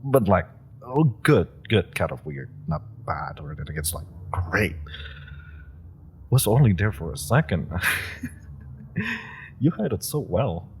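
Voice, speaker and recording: A man's voice: low-pitched, sing-song, unhurried, pauses often, a little mellow, a little hiss or hum, full character voice. A man playing an eager, awkward young male super-powered rookie, rambling with nervous laughs and many fillers. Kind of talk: audio roleplay acting.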